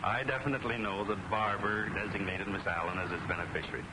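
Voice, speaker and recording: Evasive flying school boss for a 1940s serial male actor: lower register, measured, heavy film noise. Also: fairly even intonation, natural voice. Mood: guarded. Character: smooth, guarded male flying school official. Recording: very noisy old film soundtrack.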